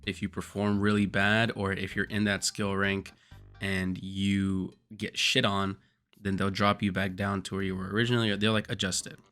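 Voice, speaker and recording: Hoarse man, low flat voice. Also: normal speed. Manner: rambling, profane, jokey. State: hoarse from screaming. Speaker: gaming streamer. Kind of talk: casual gaming commentary.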